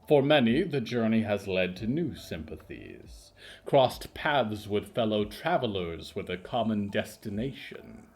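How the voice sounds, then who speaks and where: A man's voice: fairly low pitch, lively intonation, unhurried. A man narrating a scripted, atmospheric story as its narrator.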